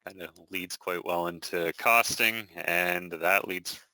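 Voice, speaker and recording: A man starting a software demonstration, talking slowly and hesitantly with many fillers. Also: deep voice, fairly even intonation, clear, resonant tone, no room sound, low-quality recording. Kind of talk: unscripted technical demo.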